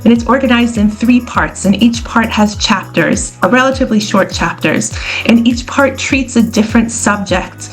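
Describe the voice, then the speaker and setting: A woman born in Israel and raised in the United States, a scholar answering interview questions in a clear, explanatory way. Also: a little high, moderate pace, faint music behind, rough recording. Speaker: scholar and guest.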